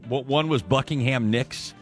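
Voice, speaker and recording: A man's voice: medium pitch, moderate pace, faint music behind. A middle-aged man hosting a radio morning show, chatting in a self-mocking, easygoing way. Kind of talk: casual radio banter.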